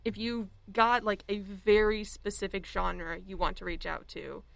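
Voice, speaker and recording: A woman's voice: medium pitch, fairly even intonation, measured pace, gravelly, a bit bright, no room sound. A woman chatting informally as a podcast panelist, loosely thinking aloud with lots of filler words. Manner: informal, filler-heavy with many "like"s. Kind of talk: casual chat.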